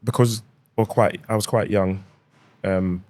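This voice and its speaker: A man's voice: fairly low pitch, steady tone, a little slow, a little mellow, no room sound. Jamaican-born man with a London accent. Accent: London English with Jamaican roots. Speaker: guest, restaurant founder. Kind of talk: casual podcast interview.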